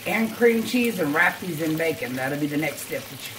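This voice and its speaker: Lady w a really low voice doing a casual cooking vlog, step by step, noisy kitchen w sizzling and clatter. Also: lively intonation, normal speed. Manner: informal, step-by-step explaining. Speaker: home cooking vlogger. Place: home kitchen, indoors. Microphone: camera on counter or at arm's length.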